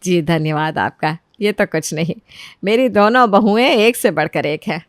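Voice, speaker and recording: A woman's voice: fairly low pitch, unhurried, no echo, studio quality, lightly acted. Woman acting a matronly middle-aged mother-in-law. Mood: modest.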